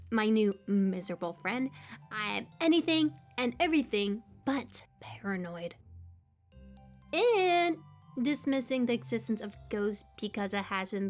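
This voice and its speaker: A woman playing a hyperactive, kooky young stranger in an audio roleplay, rambling and stammering through playful, slangy banter addressed to the listener. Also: a little high. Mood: teasing, indignant. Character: hyperactive, chatty, kooky young female cemetery stranger, teasing.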